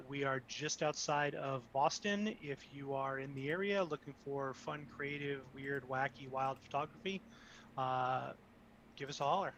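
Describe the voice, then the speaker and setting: Man, photo studio owner, casual, slightly high, dull lo-fi sound. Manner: casual, relaxed plug. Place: studio near Boston. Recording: dull lo-fi with faint noise.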